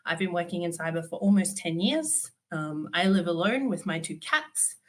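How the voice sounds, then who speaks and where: A woman's voice: on the low side, expressive, normal speed. A woman, giving a conference talk while stuffy from a cold, candid with dry humour and frequent ums. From Australia. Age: 30s. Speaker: conference speaker.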